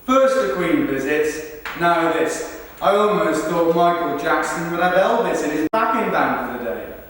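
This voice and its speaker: A man's voice: fairly high pitch, expressive, measured pace, fairly bright tone. A man performing verbatim theatre in rehearsal, playing an enthusiastic, starstruck football fan recounting a memory. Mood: amazed. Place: large reverberant rehearsal space, recorded from afar. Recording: very echoey hall, distant mic, faint noise.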